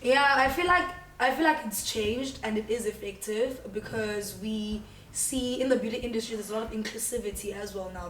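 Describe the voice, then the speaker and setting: Bubbly south african woman in her 20s, kinda high voice, chatting away, echoey room with the mic a bit far off. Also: slight background noise. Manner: bubbly, laughs often. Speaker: interview guest. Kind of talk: casual interview chat.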